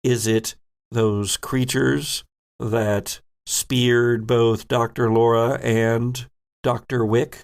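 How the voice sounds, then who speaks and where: A man's voice: mid-range voice, little pitch movement, very slow. A man running a tabletop horror game as game master, improvising suspenseful narration. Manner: suspenseful, second-person scene-setting.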